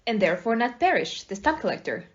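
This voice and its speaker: A woman's voice: on the high side, sing-song, fast talker, highs cut off. A woman playing a blunt, businesslike police inspector in an amateur audio play, casual and matter-of-fact.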